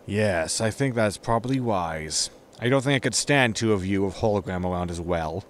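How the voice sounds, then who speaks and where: A man's voice: fairly low pitch, animated, moderate pace, natural voice. Irritable starship captain played by a man, faint noise. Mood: wry. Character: irritable, self-important male starship captain, easily offended. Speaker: actor in sci-fi comedy audio drama. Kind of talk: audio sitcom acting.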